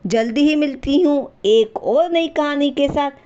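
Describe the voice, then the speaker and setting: A woman narrating a scripted story as a host, expressive and speaking directly to her listeners. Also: high voice, a little slow, highs cut off.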